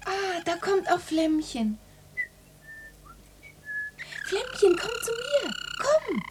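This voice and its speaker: An eager little girl in a classic radio drama. The voice is high and very lively, affectionate and playful, on a noisy, clicking recording. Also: drawn-out words, exaggerated voice. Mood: coaxing. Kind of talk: German radio play acting.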